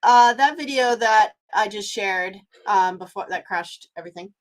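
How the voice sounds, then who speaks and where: A woman from Canada hosts her own live vlog in a loose, conversational way. She rambles, restarts sentences and fills pauses as she talks to viewers, in a voice of middling pitch. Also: rough recording. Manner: chatty, rambling with many fillers and restarts. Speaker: vlogger. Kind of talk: casual live-stream chat.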